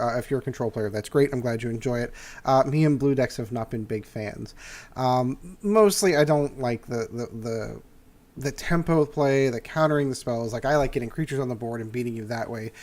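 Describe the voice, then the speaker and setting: An older man talks through a card game deck review in a loose, rambling way. His voice sounds a little nasal and stuffed up from a cold, and he fills the gaps with plenty of "uh"s and false starts. His pitch sits right in the middle for a man. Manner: rambling with many "uh" fillers and restarts. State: congested from a cold. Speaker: host.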